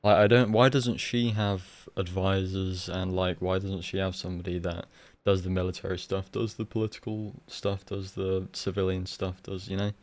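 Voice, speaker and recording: Low-voiced man rambling as he streams. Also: little pitch movement, moderate pace, few pauses, dry recording. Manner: rambling, sweary, opinionated. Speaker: game streamer. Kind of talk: casual live playthrough commentary.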